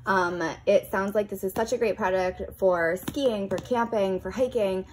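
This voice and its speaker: A woman's voice: medium pitch, moderate pace, a little mellow, slight background noise, low-quality recording. A woman with a mid-range voice narrates a hands-on product demo. She explains things informally and chattily, like a casual reviewer walking viewers through what is in front of her.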